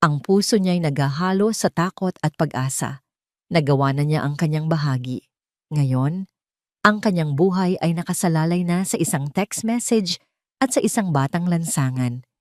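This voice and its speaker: A woman's voice: deep voice, expressive, moderate pace, no echo, clean studio sound. A woman reading dramatic scripted narration as the storyteller of an audio drama.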